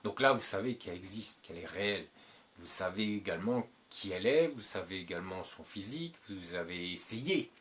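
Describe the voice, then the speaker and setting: A French-speaking man with a fairly low voice talks through advice in a loose, rambling way. He repeats himself and fills gaps with hesitations. A faint hiss of noise sits behind him. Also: expressive, a little fast, fairly bright tone. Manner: rambling, repeats himself, many filler "euh"s. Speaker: channel host giving advice. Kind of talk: casual advice monologue.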